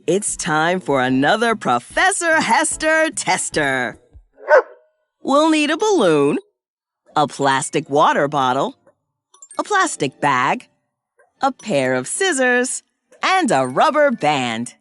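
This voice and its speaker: Wildly animated, cheerful cartoon science professor. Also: female voice, on the low side, full character voice. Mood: upbeat, explaining. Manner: exaggerated, sing-song, slow and clearly explanatory. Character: cheerful, excitable woman science professor for young children. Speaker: presenter of a children's science cartoon. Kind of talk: scripted educational cartoon narration.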